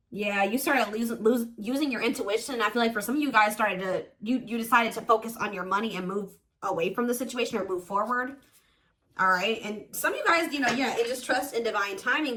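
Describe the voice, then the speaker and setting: Higher-voiced woman giving a tarot reading. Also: fairly bright tone, poor audio. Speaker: tarot reader, host. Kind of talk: casual intuitive tarot reading addressed to viewers.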